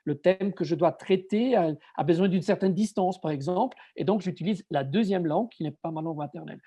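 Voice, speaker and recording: A man's voice: sits high, a little fast, dark tone. A middle-aged man from Switzerland whose first language is Italian, reflecting in an interview and repeating words as he searches for them. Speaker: guest writer. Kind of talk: conversational interview answer.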